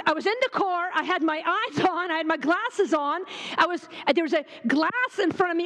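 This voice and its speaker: A woman in her forties from Lebanon, giving a fast, joking and fervent sermon at a women's retreat. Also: very high-pitched, fairly bright tone, in a large hall. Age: 40s. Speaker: retreat speaker.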